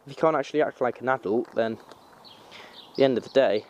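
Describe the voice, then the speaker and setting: A young man with a slight Manchester accent talks to camera outdoors, his pitch sitting in the middle range. He rambles in an informal way and keeps correcting himself as he goes. The style is a loose, casual vlog recorded on a handheld camera. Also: normal speed, a little mellow, highs cut off, slight background noise. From North Wales. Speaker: vlogger.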